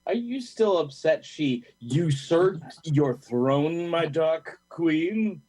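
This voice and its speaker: A man's voice: a little high, animated, very slow, a little mellow, full character voice. A man playing a sarcastic, teasing father in an amateur audio play, with dry mockery. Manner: dry, mocking. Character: sarcastic, teasing father who mocks hippies.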